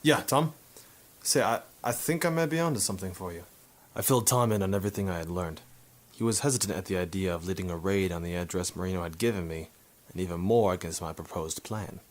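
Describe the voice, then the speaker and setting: A man's voice: low voice, fast talker, natural voice. A man playing a hard-boiled, sardonic photographer turned sleuth in a 1950s noir radio drama, with wry, tough-talking delivery. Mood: pressing.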